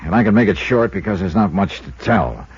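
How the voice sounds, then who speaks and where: A man's voice: fairly low pitch, very animated, brisk, slightly rough, no echo, exaggerated voice. An American man acting a dry, tough insurance investigator who narrates his case in a clipped, wry way, in 1950s radio drama style. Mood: dry, matter-of-fact. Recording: muffled vintage radio recording, music behind.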